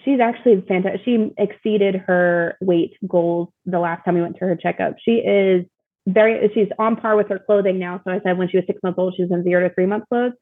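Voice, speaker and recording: A woman's voice: mid-range voice, fairly even intonation, fairly quick, narrow, tinny audio. A woman guest chatting casually and informally about her own experience, with a self-deprecating touch, over thin call audio. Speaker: interview guest, mother of young child. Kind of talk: casual chat.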